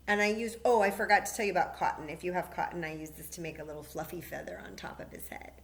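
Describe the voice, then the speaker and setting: A woman's voice: fairly low pitch, fairly even intonation, fast, creaky. A woman hosting a library story time, guiding children patiently through a paper plate craft step by step.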